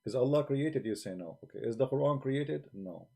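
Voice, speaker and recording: A man's voice: mid-range voice, expressive, fairly quick, creaky, a little mellow, husky. A man hosting a livestream, arguing with rhetorical questions and speaking in non-native grammar into a desk microphone. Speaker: livestream host debating religion. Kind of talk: argumentative livestream talk.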